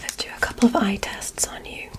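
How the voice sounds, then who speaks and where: A woman voicing a curious, polite alien examiner in a whispered ASMR roleplay, speaking in a soft, deliberate, clinical manner. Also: natural voice. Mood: curious, gentle.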